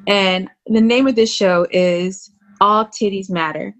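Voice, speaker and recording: A woman's voice: mid-range voice, steady tone, unhurried, a bit bright. A woman hosting a casual podcast interview, talking in an informal, flirtatious and teasing way. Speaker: podcast host.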